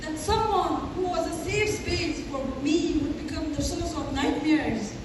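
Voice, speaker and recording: A woman's voice: high-pitched, a little slow. A woman giving a dramatic stage reading as a distraught young victim. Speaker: student teacher performing in a readers' theatre. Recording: very echoey hall, distant mic, noisy.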